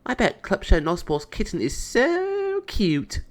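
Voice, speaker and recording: A man voicing a sweet, excited young female cat in a children's storybook, with a gushing manner. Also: sits high, slow, voice pushed up, exaggerated voice. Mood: adoring. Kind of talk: children's storybook read-aloud.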